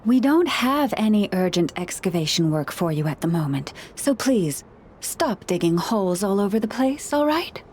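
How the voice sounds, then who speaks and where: A woman voicing a gentle, courteous librarian and keeper of relics in a video game, speaking calmly with soft formality, over game music. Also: mid-range voice, normal speed, a little hiss or hum, lightly acted. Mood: patient. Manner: calm, polite, softly formal. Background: game background music.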